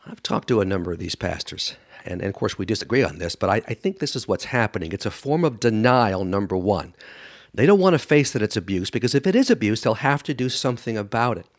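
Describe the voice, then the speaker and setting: A man's voice: fairly low pitch, animated, fast talker. A man speaking as a guest expert in an interview, answering conversationally in a blunt, emphatic way and acting out what others say. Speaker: guest expert doctor and author on a radio show.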